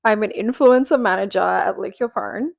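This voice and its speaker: A woman in her twenties chats her way through a home-recorded podcast, rambling and full of fillers. Her voice has a gravelly, fry-tinged texture and sounds a little nasal from a cold. The sound is close and dry, with no room echo. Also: medium pitch, normal speed. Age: 20s. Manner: chatty and rambling, with frequent fillers and laughs. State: sick and a bit nasal. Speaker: podcast host. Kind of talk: casual solo chat.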